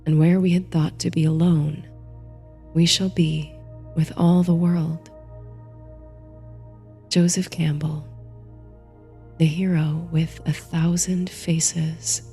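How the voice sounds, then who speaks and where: A woman narrating a guided bedtime meditation in a soothing, gentle, hushed manner, reading from a script. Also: low-pitched, level tone, slow pace, pauses often. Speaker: host and narrator of a sleep story series. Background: soft music.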